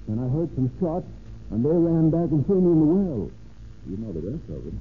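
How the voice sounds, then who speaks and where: On a thin, noisy vintage radio recording, a frightened American kidnap victim. The voice is rather high and swings widely, halting and earnest in old radio-drama style. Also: male voice, very animated, phone-quality sound. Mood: weary recounting. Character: shaken, frightened male kidnap victim, a married man. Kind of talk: 1930s-40s American radio drama acting.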